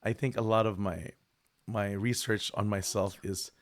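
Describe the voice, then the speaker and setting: Laid-back low-voiced man hosting a stream. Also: steady tone, unhurried. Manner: laughing, joking, chatty. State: tired voice from lost sleep. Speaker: streamer host. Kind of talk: casual livestream chat.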